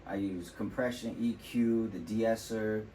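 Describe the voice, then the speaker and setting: Deep-voiced man explaining a vocal mix. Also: a little slow, a little hiss or hum, rough recording. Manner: relaxed, explanatory, with fillers like "you know". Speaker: music producer demonstrating vocal mixing. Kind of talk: casual tutorial walkthrough. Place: temporary home studio.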